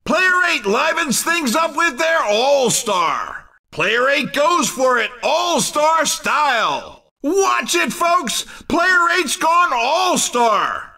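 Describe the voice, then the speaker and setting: An actor playing a playful, over-excited racing commentator in a video game, with a hammy, exclamatory showman delivery. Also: extremely high pitch, slow, gravelly, few pauses, distant mic, exaggerated voice. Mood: excited, urgent. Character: over-excited male cartoon racing announcer, playful and punning.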